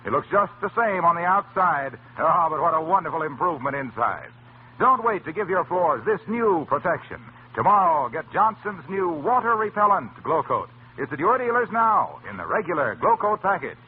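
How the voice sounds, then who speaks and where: A man announces in polished 1940s radio style. His highish voice swoops with emphatic salesmanship. The old recording is narrow and thin. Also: very animated, normal speed, a little hiss or hum. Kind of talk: 1940s radio announcing, scripted sponsor pitch.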